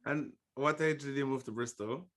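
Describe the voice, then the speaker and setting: A man's voice: medium pitch, moderate pace, fairly bright tone. A man hosting a podcast, effusive and loose, with lots of fillers as he talks. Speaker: podcast host. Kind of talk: casual interview chat.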